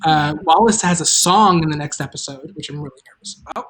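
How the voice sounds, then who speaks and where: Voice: medium pitch, brisk, a bit bright. Chatty, informal livestream host with a midrange voice. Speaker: host and producer of an audio drama. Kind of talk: casual livestream chat.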